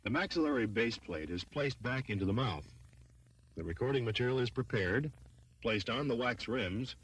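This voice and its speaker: A man reading scripted training film narration in a formal, measured, instructional manner. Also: medium pitch, lively intonation, a bit bright, a little hiss or hum, low-quality recording.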